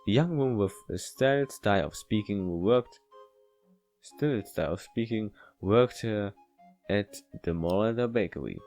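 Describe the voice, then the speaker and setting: Halting man reading a game aloud over music. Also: lowish voice, animated, a little mellow, rough recording. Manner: halting, stumbling over written lines. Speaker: let's-play streamer reading a visual novel aloud. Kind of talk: casual read-aloud game commentary. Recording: music behind the voice.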